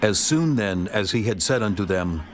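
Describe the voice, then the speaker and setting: A man's voice: fairly low pitch, expressive, normal speed. A man narrating dramatized scripture in a solemn, formal manner.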